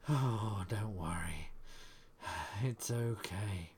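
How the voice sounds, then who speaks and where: A man performing an intimate audio roleplay in a soft, breathy voice that often drops to a whisper, with murmurs, sighs and reassurances. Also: fairly low pitch, very slow, a little hiss or hum.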